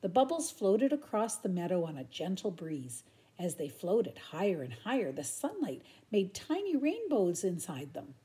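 An older woman reads a children's story aloud at home. Her delivery is expressive and animated, with a soft, mellow tone. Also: mid-range voice, normal speed. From Canada. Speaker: storybook reader. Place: room at home.

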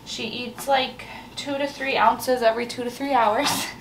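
A woman in her thirties with a raspy morning voice, chatting casually to a handheld camera as she vlogs her day at home. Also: fairly high pitch, fairly even intonation, measured pace, a little hiss or hum, rough recording. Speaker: family vlogger and mother. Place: rooms at home, indoors. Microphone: camera at arm's length, sometimes set down.

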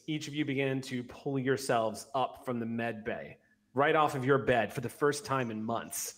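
A man in his forties narrating a tabletop roleplay as game master, descriptive and conversational, close on a studio mic. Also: medium pitch. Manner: descriptive, conversational storytelling with vivid detail. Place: small home room, video call. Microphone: close large studio microphone on an arm.

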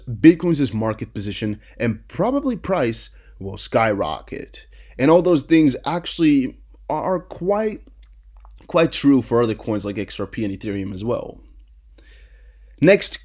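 A man's voice: mid-range voice, a little mellow. A young man whose first language is Dutch, casually reading and commenting on articles, often stumbling and repeating words. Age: young adult. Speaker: crypto news youtuber.

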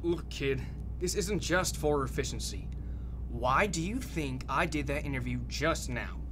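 A man's voice: a little high, animated, measured pace, noisy background. A man playing a confident, blustering American military commander in a radio drama, emphatic and assured. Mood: commanding.

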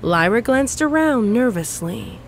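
A woman's voice: a little high, expressive, unhurried, background noise. A woman reading scripted story narration.

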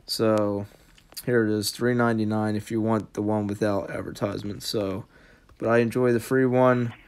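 A man's voice: fairly low pitch, flat delivery, normal speed, gravelly, darkish tone. A man giving a casual how-to voice-over as the host of an app tutorial.

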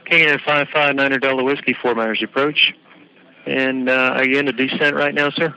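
A man air traffic controller gives approach instructions over aviation radio. His voice is very bright and cutting, at a medium pitch. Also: flat delivery, moderate pace, smooth, low-quality recording.

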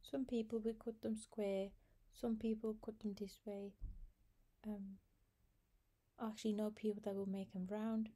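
A woman's voice: mid-range voice, little pitch movement, measured pace, pauses often, warm tone. A woman hosting a home cooking video, chatting through each step in a casual voice-over. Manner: chatty, talks viewers through steps with fillers. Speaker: cooking channel host.

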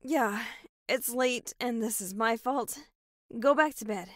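A woman's voice: on the high side, no room sound, studio quality. A woman playing a shaken, guilt-ridden grown daughter in an audio drama, speaking hesitantly. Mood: apologetic. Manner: hesitant, halting.

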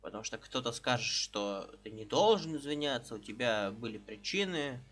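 A man's voice: mid-range voice, lively intonation, normal speed, fairly bright tone. A man in his thirties on a live stream, chatting casually from a small room into a headset and greeting viewers one by one. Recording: game music under the voice.

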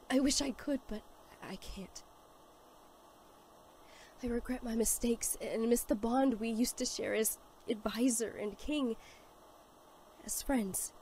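A high-pitched mother pony played by a woman from a cartoon drama. She is earnest and emotional, pleading with deep remorse and motherly love. Faint noise sits behind her voice. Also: a little mellow. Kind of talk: animated fan audio drama acting.

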